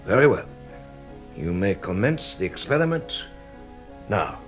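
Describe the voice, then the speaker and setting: An actor playing a shrewd, courteous police detective in a radio drama, speaking with a theatrical, deliberate manner. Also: male voice, fairly low pitch, very animated, soft background music. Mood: hushed, commanding. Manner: theatrical, deliberate explaining, savouring each revelation.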